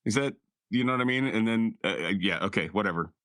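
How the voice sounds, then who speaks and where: A man's voice: on the low side, fast, pushed and bright, dry recording, studio quality. A man playing a tabletop roleplaying game in casual, sardonic, exasperated banter with some swearing. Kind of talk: casual banter and in-character chat.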